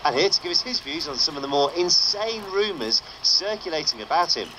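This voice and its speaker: An underground radio presenter for a game. His voice is bright and lively, with dry, sardonic announcing. There is faint noise behind him. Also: fairly high pitch, fairly quick, poor audio. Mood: wry, upbeat. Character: wry underground radio show host, a man. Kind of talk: broadcast radio presenting, scripted game dialogue.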